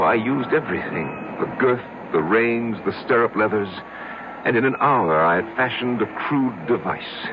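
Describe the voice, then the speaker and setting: Male actor as troubled storyteller confessing to his doctor; low voice, halting intensity, muffled vintage radio sound with heavy noise. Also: natural voice. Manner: halting, repeating, dramatic pauses. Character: haunted man confessing his strange past to a psychiatrist. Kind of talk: old-time radio drama monologue. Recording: noisy, dull old radio broadcast.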